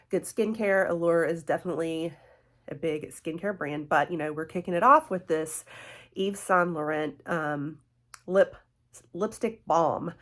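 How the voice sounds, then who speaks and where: A woman's voice: fairly low pitch, a bit bright. A woman, stuffy from a cold, chatting in a friendly way with her viewers as a beauty vlogger. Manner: friendly, chatty, fillers and laughs, addresses viewers as guys and y'all. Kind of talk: casual chatty product review.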